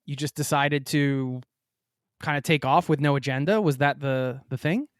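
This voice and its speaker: A man's voice: a little high, moderate pace, dry recording, studio quality. A man in his forties from Pennsylvania, hosting a podcast and asking long, rambling questions while he searches for words. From Pennsylvania, United States. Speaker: podcast host. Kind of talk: casual interview chat.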